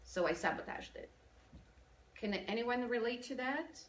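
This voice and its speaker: A woman with a Polish accent speaks in an engaging, lively way. Her voice carries through an echoey room, and she sounds some distance from the mic. A faint hum of ambience sits underneath. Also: fairly high pitch, moderate pace. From Poland. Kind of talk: motivational business talk. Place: event room with audience.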